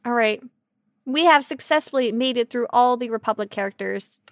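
Woman podcast host w a high voice, jokey casual banter, super dry close sound. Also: normal speed, no room sound. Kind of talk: casual, silly banter about an online game.

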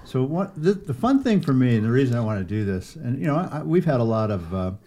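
A man's voice: medium pitch, very animated, fast, mellow. A man hosting a podcast interview, chatty and teasing his guest in a friendly way, often restarting his sentences as he rambles. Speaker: podcast host and gallery owner.

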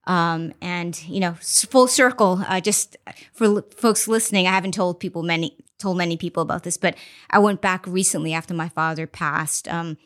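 A woman investor giving a candid, reflective podcast interview, often pausing with ums. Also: fairly low pitch, a bit bright. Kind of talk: conversational interview. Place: studio interview.

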